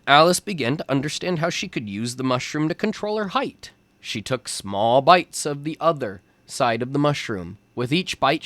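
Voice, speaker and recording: Slow, animated man reading a children's storybook. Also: fairly high pitch, no echo. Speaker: tutor reading a storybook aloud to young children. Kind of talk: children's storybook read-aloud.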